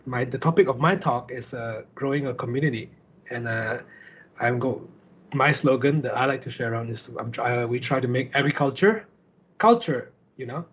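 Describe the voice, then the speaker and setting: A man's voice: medium pitch, moderate pace, a bit bright, husky. A man from Sarawak with a Malaysian accent, giving an informal, conversational slide talk as a guest speaker on an online call. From Kuching, Sarawak, Malaysia. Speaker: guest speaker, startup founder. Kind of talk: casual slide presentation. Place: online video call with screen sharing. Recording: dull lo-fi online call audio.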